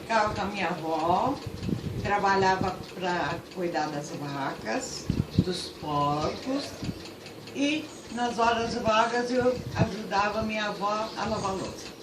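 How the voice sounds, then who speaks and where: A woman's voice: medium pitch, slow. An elderly woman in her eighties, from Portugal, gives brief and plain answers to an interviewer. She sounds far from the microphone in an echoey room. Heavy background noise runs under her voice. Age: 80s. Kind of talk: casual interview. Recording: echoey room, distant mic, loud noise.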